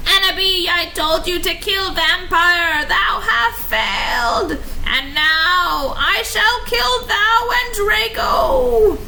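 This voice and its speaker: A woman voicing a furious, grandiose evil dark lord with drawn-out theatrical shouting in a storybook reading. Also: very high voice, gravelly, voice pushed up, exaggerated voice. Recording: noisy amateur recording.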